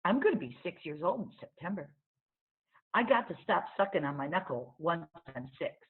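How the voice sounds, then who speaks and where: A woman's voice: on the low side, very animated, normal speed, husky, phone-quality sound. A woman voicing an earnest, chatty five-year-old girl in a storybook, with blunt childish rural grammar, on a thin recording. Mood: eager, matter-of-fact. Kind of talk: storybook read-aloud character.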